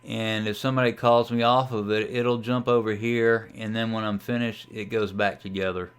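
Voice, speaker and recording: A man with a fairly low, smooth and clear voice narrates a casual software demonstration. He talks unscripted, thinking aloud as he works through each step like a hobbyist showing his setup. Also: little pitch movement, normal speed. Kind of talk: casual screen-share tutorial narration.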